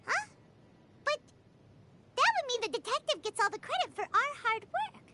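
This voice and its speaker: A woman voicing a small, excitable, naive childlike girl companion in a video game, squeaky and theatrical in her delivery. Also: moderate pace, background noise. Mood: indignant. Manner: refers to herself in third person, exclaims theatrically. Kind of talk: video game character voice acting. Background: background music.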